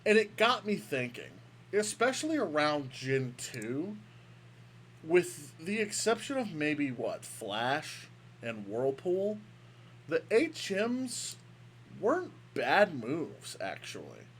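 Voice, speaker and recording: A man's voice: a little high, wildly swooping pitch, slow, a bit bright, a little hiss or hum. A man co-hosting a casual gaming podcast over a remote call, rambling and restarting his sentences. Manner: rambling, restarts often, playful grandiose greeting. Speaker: podcast co-host. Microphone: microphone over a remote call.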